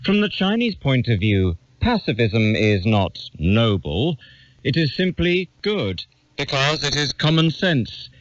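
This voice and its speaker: A man reading an essay as a scripted audiobook narration, in a measured, deliberate and formal manner. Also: medium pitch, very animated, slight background noise. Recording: dull lo-fi radio capture.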